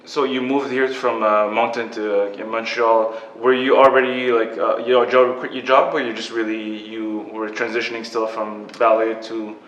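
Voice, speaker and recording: A man's voice: mid-range voice, level tone, normal speed, few pauses, fairly bright tone, muffled recording. A young man hosting a podcast interview, upbeat and chatty, with lots of fillers. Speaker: podcast host. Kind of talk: casual interview. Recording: echoey room.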